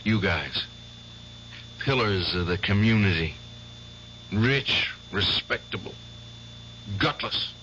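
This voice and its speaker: An actor playing a bitter, obsessed and worn-out war veteran in a radio drama, speaking in an intense, halting way. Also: male voice, on the low side, expressive, sharp tone, exaggerated voice. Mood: scornful. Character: bitter, obsessed, exhausted war veteran piano player seeking justice. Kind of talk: 1970s radio drama acting. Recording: dull old radio recording, faint hum.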